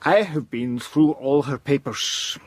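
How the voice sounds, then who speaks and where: An actor playing a grave, commanding learned mentor in an audio drama, speaking with theatrical, solemn emphasis. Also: male voice, medium pitch, slow, husky, no room sound. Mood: reassuring, grave.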